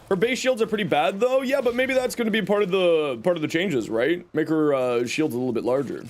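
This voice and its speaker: A young man streaming a game and chatting with viewers, his voice scratchy from a cold, rambling with lots of fillers. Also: sits high. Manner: rambling, reads and answers chat with many fillers.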